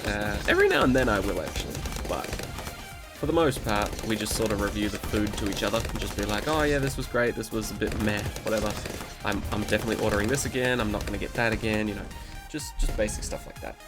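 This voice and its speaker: A man's voice: on the low side, animated, fast talker, music in the background. A man hosting a gaming video, talking in a rambling, laid-back way with a nasal, congested voice from a cold he is getting over. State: recovering from a cold, stuffy nose. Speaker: gaming channel host. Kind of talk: casual commentary over gameplay.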